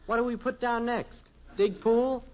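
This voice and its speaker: High-voiced, lazy American teenage son. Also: male voice, steady tone, unhurried. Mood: reluctant, drowsy. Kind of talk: 1950s American radio sitcom acting. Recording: muffled, noisy old radio recording.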